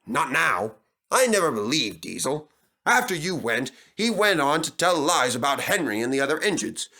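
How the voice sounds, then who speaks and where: A man voicing a dignified, kindly but stern gentleman in charge, speaking formally and with measured weight, for a storybook. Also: on the high side, sing-song, heavily acted. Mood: kindly, proud. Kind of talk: storybook fandub character voice.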